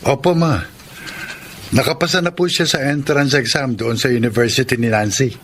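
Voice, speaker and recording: A man playing a courteous, smitten suitor in a Filipino radio romance, speaking gently and earnestly. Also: mid-range voice, steady tone, normal speed, slight background noise, close to an everyday voice. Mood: pleased. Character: courteous, smitten older-brother-like male suitor.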